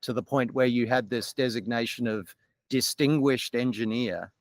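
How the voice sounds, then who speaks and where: A man's voice: medium pitch, normal speed, no room sound. Australian man, dry close sound. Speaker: guest. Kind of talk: podcast interview.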